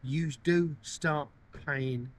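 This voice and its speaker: A man's voice: fairly high pitch, fairly even intonation, drawn-out words. A man in his fifties chatting casually to camera as a renovation vlogger.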